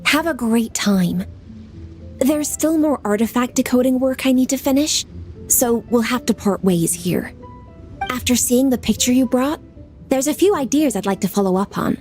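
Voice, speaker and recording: Woman as a brisk dutiful official. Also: on the high side, lively intonation, a little mellow, soft background music, close to an everyday voice. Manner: composed, formal, dutiful. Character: young woman official, diligent and businesslike. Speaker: government official. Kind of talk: video game voice acting.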